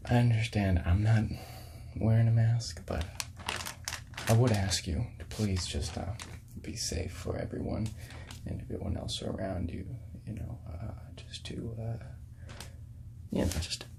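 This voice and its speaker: A man playing a friendly, laid-back hippie record store clerk in a soft-spoken ASMR role-play, often breathy and whispering, with hesitant, casual small talk. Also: lowish voice, a little slow, long pauses, background noise. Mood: hesitant, polite.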